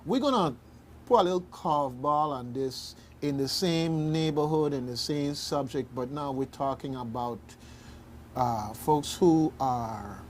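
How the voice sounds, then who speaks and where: A man hosting a serious community radio discussion, speaking in a halting, emphatic way and repeating phrases deliberately. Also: medium pitch, darkish tone, slight background noise. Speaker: radio talk show host.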